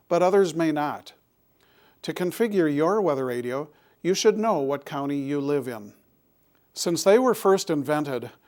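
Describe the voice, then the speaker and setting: A man presenting scripted public safety information in a deliberate, instructional manner. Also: a little high, a little mellow.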